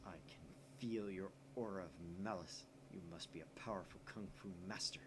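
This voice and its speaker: A man voicing a paranoid, theatrical young male mad scientist from a visual novel, excitable and suspicious. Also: deep voice, moderate pace, noisy background, close to an everyday voice. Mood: suspicious, melodramatic. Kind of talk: visual novel read aloud in character.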